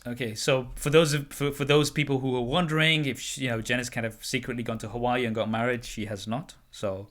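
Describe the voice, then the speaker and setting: A man in his twenties hosting a podcast interview, relaxed, often trailing off quietly and chuckling. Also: mid-range voice, a little fast, hardly any pauses. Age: 20s. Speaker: podcast host. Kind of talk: casual interview.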